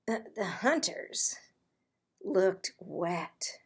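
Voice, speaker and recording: A woman reading a children's story aloud expressively, with a playful, dramatic delivery and pauses. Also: medium pitch, sing-song, breathy edge. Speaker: storybook read-aloud host.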